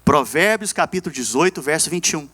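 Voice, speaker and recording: Animated Brazilian preacher, high-pitched man. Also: brisk. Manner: emphatic, repeats key phrases, calls on congregation to respond. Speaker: pastor preaching. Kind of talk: evangelical sermon.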